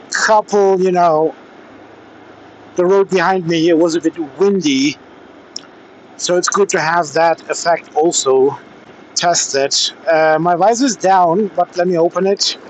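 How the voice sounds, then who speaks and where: A man with a slightly high voice speaks at a slow, halting pace in a casual riding vlog. He is recorded on a helmet intercom mic, with faint vehicle noise behind him. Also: a little mellow, poor audio. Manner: hesitant, halting delivery with pauses. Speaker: motorcycle vlogger testing gear. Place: outdoors, riding a motorcycle in traffic. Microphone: helmet intercom microphone.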